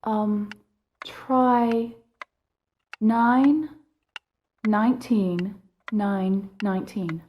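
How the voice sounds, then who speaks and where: A woman playing a dignified, grieving middle-aged mother and widow in an audio drama, earnest and quick to reproach. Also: mid-range voice, fairly even intonation, dull audio, a little hiss or hum. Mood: thoughtful. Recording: music behind the voice.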